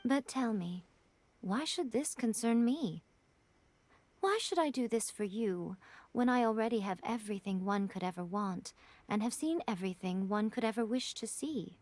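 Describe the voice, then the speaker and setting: A woman's voice: a little high, animated, moderate pace, a little mellow, no room sound. A woman voicing a sly, teasing shrine priestess in a game cutscene, playful and mocking in manner.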